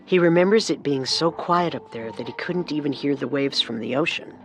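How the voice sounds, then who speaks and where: A man's voice: fairly high pitch, fairly quick. A man co-hosting a scripted true-crime show, telling and explaining the story. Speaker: co-host narrator.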